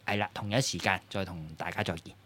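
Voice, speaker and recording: Male podcast host, low voice, quick casual delivery full of fillers. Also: slight background noise. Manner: relaxed, many fillers, wraps up the show. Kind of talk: casual chat.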